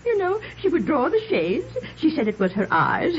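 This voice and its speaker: An actress playing a sweetly dotty, chatty, hard-of-hearing retired schoolteacher of about sixty, prim, gossipy and giggly, in 1950s radio drama style. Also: female voice, sits high, wildly swooping pitch, normal speed. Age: around 60. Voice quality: giggly, fluttery delivery. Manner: gossipy, prim, easily muddled. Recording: muffled, noisy vintage radio recording.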